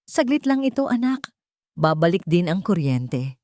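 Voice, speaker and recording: A woman's voice: fairly low pitch, big pitch swings, unhurried, a little mellow, no echo, studio quality. A woman with a Filipino accent voicing a polite but firm, protective mother in a narrated story. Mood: soothing.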